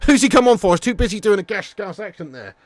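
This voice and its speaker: A man's voice: sits high, expressive, quick pace, no echo. A man hosting a football watch-along livestream, chatting and commentating casually in a sweary, matey, bantering way. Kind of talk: casual reactive match commentary and chat.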